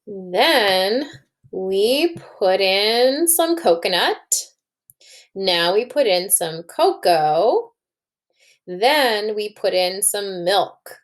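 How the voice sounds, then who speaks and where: Kindly female storybook cooking teacher, read by a woman: cheerful, measured, clear instructions for young children. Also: mid-range voice, lively intonation. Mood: bright, instructing.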